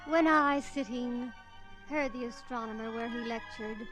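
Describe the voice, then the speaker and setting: A woman played by a radio actress recites poetry in measured, dramatic phrases, in old-time radio style. Her voice is fairly high, with music clearly playing behind it. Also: close to an everyday voice. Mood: solemn, wistful. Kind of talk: 1940s radio drama acting.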